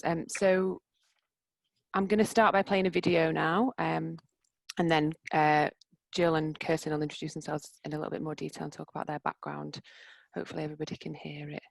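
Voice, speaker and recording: A woman hosting a video meeting, calling on each person in turn, often apologetic and trailing off quietly. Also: low-pitched, gravelly, no room sound, poor audio. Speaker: meeting host. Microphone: video call.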